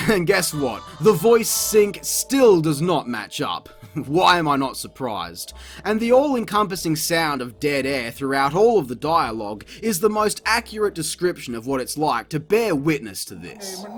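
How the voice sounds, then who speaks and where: This is a man delivering a comedic review, sarcastic and exasperated, with plenty of swearing. His voice sits a little high and leaps up and down in pitch. Faint music plays behind him. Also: sing-song, a little slow. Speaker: video reviewer.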